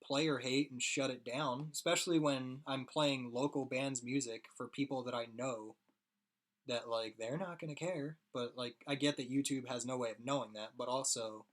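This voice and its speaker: A hoarse young man rambling casually to his viewers while he draws on a live stream. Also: mid-range voice, fairly even intonation, moderate pace, fairly bright tone. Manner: rambling, chatty, talks to chat viewers. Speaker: streaming artist.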